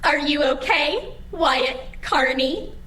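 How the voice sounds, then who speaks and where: A woman's voice: high voice, takes it slowly, roomy sound, far from the mic. A woman playing an anxious, chirpy AI assistant in an audio drama, with formal, over-eager politeness. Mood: soothing. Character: anxious, chirpy female AI assistant guiding the digital afterlife.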